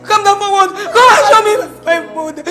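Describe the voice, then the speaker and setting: A woman playing a desperate daughter who shouts and cries in a hospital. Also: very high voice, fairly quick. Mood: frantic. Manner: shouting, pleading, crying. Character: frantic young woman, desperate and loud. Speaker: daughter searching for her mother in a hospital.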